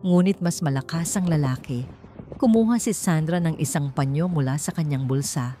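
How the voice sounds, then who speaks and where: Low-voiced woman narrating a dramatic story. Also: moderate pace, dark tone. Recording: music under the voice. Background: background music.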